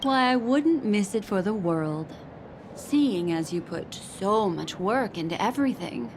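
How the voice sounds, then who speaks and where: A woman voicing a composed, elegant female detective in a video game, formal and measured with gentle irony. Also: mid-range voice, expressive, background noise. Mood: politely amused. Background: music.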